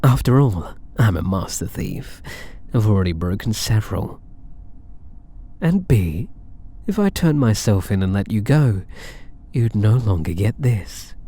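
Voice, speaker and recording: A man's voice: on the low side, big pitch swings, mellow, breathy edge, full character voice. A British man playing a possessive, flirtatious alien catboy thief in an intimate ASMR roleplay, teasing and doting. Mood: playful, possessive. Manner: teasing, doting, purring endearments, unhurried.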